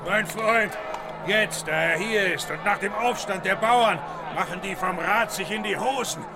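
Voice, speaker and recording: An actor playing an excited comrade of rebels in a historical radio drama. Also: male voice, high voice, a little slow. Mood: excited, triumphant. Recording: crowd and music behind.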